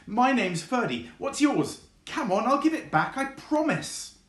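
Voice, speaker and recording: Guy putting on a bouncy scruffy town fox for a kids storybook, high and eager, roomy sound. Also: sing-song, a little slow, exaggerated voice. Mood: excited, playful. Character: excitable scruffy urban fox, playful and cheeky, male.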